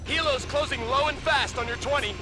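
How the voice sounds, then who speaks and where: Music plays clearly behind a high male voice an army officer. He briefs troops briskly and emphatically in the manner of a military game mission. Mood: urgent.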